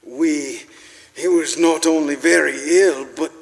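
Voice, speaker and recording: An actor playing a careworn, tender husband in a live radio play, earnest and emotional in a reverberant hall. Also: male voice, on the high side, unhurried, creaky. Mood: relieved, earnest. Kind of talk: live radio-play acting of Victorian story. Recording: reverberant church hall, music behind. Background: music.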